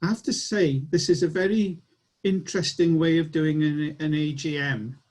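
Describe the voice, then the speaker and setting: A man's voice: a little high, fairly even intonation, moderate pace, a little mellow. A man with a slightly raised voice chairs an online annual meeting. He speaks in an earnest, encouraging way as he wraps up. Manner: earnest, rallying closing remarks.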